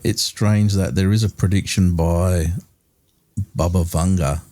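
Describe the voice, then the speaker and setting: A man with a low, mellow voice hosts a podcast. He chats casually and muses aloud in a friendly, easygoing tone. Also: steady tone, unhurried. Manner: chatty, musing, friendly. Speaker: podcast host.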